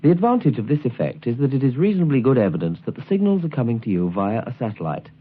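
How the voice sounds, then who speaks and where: A man presenting a scripted instructional guide, explaining things in a clear, didactic way. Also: mid-range voice, very animated, fast, narrow, tinny audio. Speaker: presenter of a shortwave listening guide.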